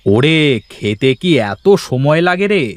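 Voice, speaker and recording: A man's voice: on the high side, sing-song, slow pace, dry recording. Man voicing a bossy, impatient village landowner, drawling. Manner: scolding, bossy. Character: stingy, impatient village landowner hurrying his hired hand. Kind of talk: Bengali cartoon folk-tale voice acting.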